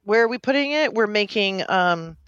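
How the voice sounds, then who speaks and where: A woman co-hosting a casual movie podcast, teasing and quick to push back. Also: mid-range voice, expressive, a bit bright, clean tone, dry recording, highs cut off. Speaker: podcast co-host.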